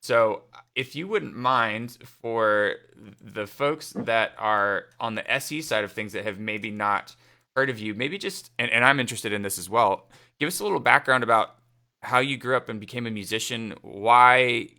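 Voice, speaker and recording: A man's voice: medium pitch, normal speed, pushed and bright. A man hosting a casual livestream interview, asking long, rambling, eager questions. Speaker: livestream host.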